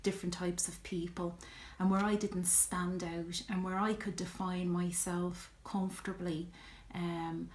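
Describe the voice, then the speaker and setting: A middle-aged woman with an Irish accent, talking reflectively in a personal vlog, pausing often with ums. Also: fairly low pitch, flat delivery, darkish tone, roomy sound. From Ireland. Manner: reflective, earnest, many ums and you-knows. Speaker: vlogger.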